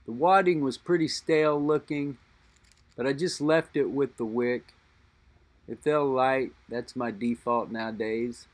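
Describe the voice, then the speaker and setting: Slow, musing man describing a lighter. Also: mid-range voice, steady tone. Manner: slow, musing, thinks aloud with pauses. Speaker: lighter collector and reviewer. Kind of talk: unscripted show-and-tell narration.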